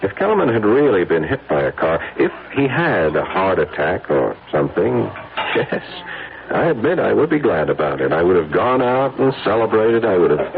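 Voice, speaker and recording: A troubled, earnest businessman in a radio play. His deep voice moves widely up and down, hesitant and brooding. The recording is narrow and tinny, like an old broadcast. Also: very animated, moderate pace, creaky, a crowd in the background. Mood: anguished, restless. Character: guilt-ridden, anxious businessman confessing a troubled conscience. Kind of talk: old-time radio drama acting.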